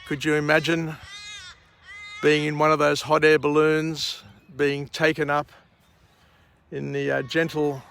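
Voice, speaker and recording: A middle-aged Australian man with a croaky voice after a night out, hosting a rambling outdoor morning vlog and book summary at a vineyard. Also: a little high, completely flat delivery, a bit bright, slight background noise. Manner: slow, rambling, frequent uh and um. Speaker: vlog host.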